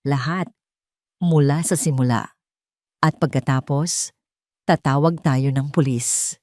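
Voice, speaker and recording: A woman's voice: low-pitched, slow pace, darkish tone, no echo, clean studio sound, voice pushed down. A woman voicing a cold, angry male CEO who speaks in icy, insistent commands in an audio drama. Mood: commanding. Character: cold, furious wealthy male CEO demanding answers.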